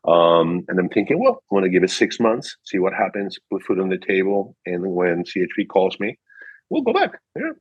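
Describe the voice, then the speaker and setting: Deep, husky older man with Peruvian Spanish accent. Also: wildly swooping pitch, moderate pace. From Peru. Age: 60s. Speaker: interview guest, car dealership director. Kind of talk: casual anecdotal storytelling. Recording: dull, echoey room sound.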